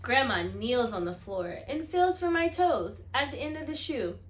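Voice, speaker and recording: A woman reading a children's picture book aloud expressively, acting out the dialogue and pausing to put questions to listeners. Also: high voice, big pitch swings, reverberant, slight background noise. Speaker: read-aloud storyteller.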